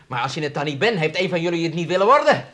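An actor in a radio play playing a callous, coaxing man who talks with quiet insistence. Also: fairly high pitch, lively intonation, racing. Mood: coaxing, insistent. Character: callous, coaxing man exploiting a sick woman.

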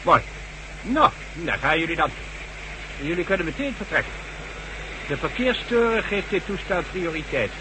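A man's voice: a little high, big pitch swings, moderate pace, long pauses. A man acting a blunt, authoritative official in a Dutch radio drama, commanding and dry as he briefs others. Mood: businesslike, grave. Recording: noisy old radio drama recording with hum.

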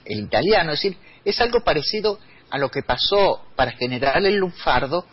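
A man's voice: fairly high pitch, sing-song, brisk, slightly rough. A man, speaking as a radio guest and explaining in a lecturing way with many restarts. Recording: dull lo-fi radio recording.

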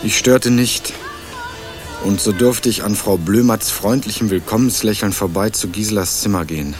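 An actor playing an earnest young male student investigator in a radio crime drama, narrating and questioning in a measured, dry way. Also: on the low side, faint music behind, lightly acted. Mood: measured narration. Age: young adult. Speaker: narrator and lead character.

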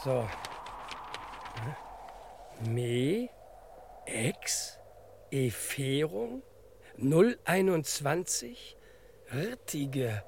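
Eager deferential sidekick, high and croaky, clipped radio parody delivery, bit of hiss. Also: male voice, sing-song, extremely slow, pauses often, a little mellow, heavily acted. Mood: dutiful, puzzled. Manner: clipped telegram-style phrasing, mock-earnest. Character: eager, deferential male detective's sidekick, comically earnest. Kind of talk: old German radio detective parody acting. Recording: slightly noisy old radio recording.

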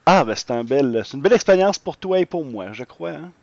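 A man hosting a podcast segment and interview, with florid, earnest and deliberate phrasing. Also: a little high, expressive, creaky, darkish tone, dry recording. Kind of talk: scripted podcast presentation and informal interview. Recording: slightly dull, dry recording.

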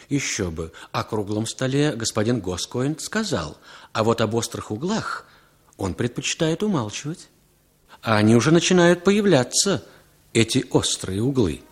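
Wry storyteller in a sixties radio play, measured and dramatic, mid-range voice. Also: male voice, medium pitch. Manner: measured, dramatic, lightly ironic. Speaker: narrator. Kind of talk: 1960s radio drama narration.

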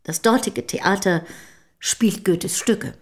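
A proud society wife in a radio drama. Her mid-pitched voice is composed and formal, with curt, dignified phrasing that keeps a cool guard up. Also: lively intonation, slow. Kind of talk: German radio play acting.

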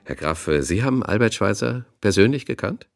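Radio interviewer, deep voice, animated, curious n a bit hesitant, dry studio sound. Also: male voice, fairly quick, vocal fry, no echo. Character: inquisitive male radio interviewer. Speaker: radio host.

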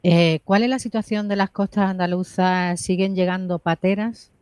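Very clear-voiced woman hosting radio. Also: lowish voice, steady tone, moderate pace, a little mellow, no echo. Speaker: radio host. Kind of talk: radio interview.

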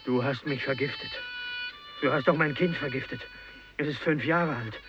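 An actor playing a poisoned, accusing customer in a German radio drama, with a gravelly voice and blunt, insistent phrasing. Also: male voice, medium pitch, normal speed, faint music behind, close to an everyday voice. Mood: accusing, bitter. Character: poisoned, accusing customer, parent of a small child. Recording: dull radio drama recording.

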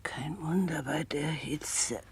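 A woman's voice: low voice, slow pace. An actress playing a weary, plain-spoken female convict in a 1960s German radio drama, speaking matter-of-factly. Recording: hissy old 1960s radio recording.

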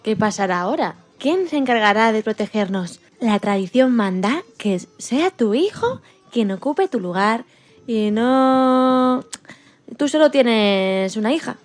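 A woman's voice: a little high, lively intonation, measured pace, soft background music. A woman playing a skeptical, scornful animal chief who defends tradition in a children's radio play. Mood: worried, objecting.

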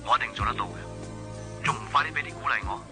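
An actor playing a playful, doting young boyfriend in a Hong Kong Cantonese radio drama. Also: male voice, mid-range voice, quick pace, over music, close to an everyday voice. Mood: tender, teasing.

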